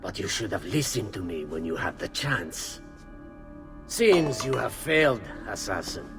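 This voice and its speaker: Sneering traitor, voice jumps all over, music behind. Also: male voice, fairly low pitch, sing-song, measured pace. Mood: smug. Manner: smug, sneering. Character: treacherous male traitor, Carlo. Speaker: game character.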